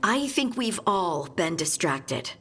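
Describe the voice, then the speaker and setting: A woman's voice: fairly low pitch, big pitch swings, takes it slowly, a bit bright, exaggerated voice. An actress playing a practical female scout in a fantasy video game. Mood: worried. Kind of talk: video game dialogue acting.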